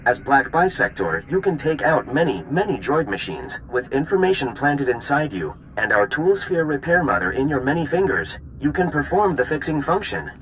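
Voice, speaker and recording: An actor playing a politely pedantic alien science unit in a video game, with formal, oddly stilted delivery. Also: male voice, mid-range voice, big pitch swings, normal speed, hardly any pauses, a bit bright, a little hiss or hum, low-quality recording. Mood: formal, curious.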